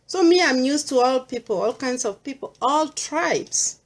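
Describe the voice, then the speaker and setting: A woman's voice: high voice, lively intonation, a little slow. A middle-aged Kenyan woman with a Kenyan accent, speaking earnestly in a personal monologue and mixing English with Swahili. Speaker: vlogger sharing personal views.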